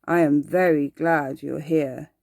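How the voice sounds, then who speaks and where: A woman's voice: low-pitched, steady tone, slow, creaky, darkish tone, dry recording, full character voice. A woman voicing a precocious, earnest little boy, matter-of-fact and knowing, in an ASMR bedtime story. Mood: solemn. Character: precocious, earnest little boy who loves scary monsters.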